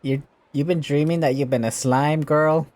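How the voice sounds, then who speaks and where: Very deep-voiced amused woman gamer. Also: steady tone, moderate pace, darkish tone, a little hiss or hum. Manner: amused, laughs a lot. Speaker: gaming streamer. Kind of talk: casual let's-play commentary. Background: faint game music.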